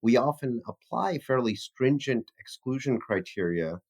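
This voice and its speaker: A man's voice: mid-range voice, lively intonation, unhurried, a little mellow. A man answering as a physician researcher in an interview, formal and explanatory in manner.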